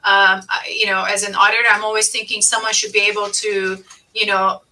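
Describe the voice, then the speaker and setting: A woman's voice: mid-range voice, level tone, a little fast, sharp tone, recorded from a distance, rough recording. A woman hosting a business advice podcast, explaining things emphatically and repeating key points. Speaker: podcast host and accountant.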